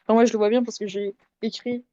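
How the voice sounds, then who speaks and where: A woman in an unscripted debate, speaking in a measured, self-effacing way and qualifying her points. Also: a little high, flat delivery, mellow, dry recording, muffled recording. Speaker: podcast debate participant, audio fiction writer.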